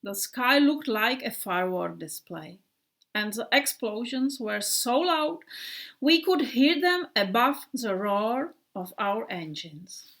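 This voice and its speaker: Woman voicing a slow-talking old bomber plane. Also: on the high side, expressive, heavily acted. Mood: dramatic. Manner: slow, dreamy storytelling with hushed passages. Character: male bomber airplane telling a wartime tale.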